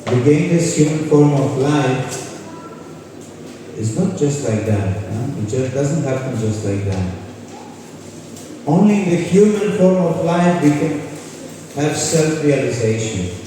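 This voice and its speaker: A man's voice: a little high, expressive, takes it slowly, pauses often, noisy background. A man from Croatia, giving an informal spiritual talk and putting questions to a live audience. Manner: conversational, poses questions to the audience. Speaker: kirtan leader and spiritual speaker. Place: large indoor hall with a live audience. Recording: echoey hall, voice far from the mic.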